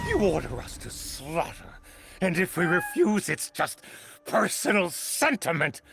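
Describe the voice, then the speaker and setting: Casting: an aging game master-teacher, slow and grieving, bitter, swinging wildly in pitch. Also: creaky, heavily acted. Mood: indignant, wounded. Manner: theatrical, impassioned, bitterly rhetorical. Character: aging, grief-stricken master mourning lost disciples, bitterly defiant. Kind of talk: dramatic video game acting.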